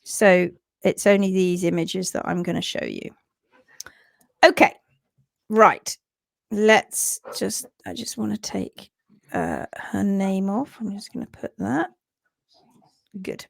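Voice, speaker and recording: A croaky woman talks into a computer mic on a video call. Her pitch sits in the middle range, and the sound is dry and close. She chats loosely and mutters to herself as she teaches. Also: steady tone, takes it slowly, long pauses, no echo. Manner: chatty, mutters to herself while fumbling with the screen. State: croaky, sore throat. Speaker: host of a hoof care livestream. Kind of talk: casual livestream talk and teaching.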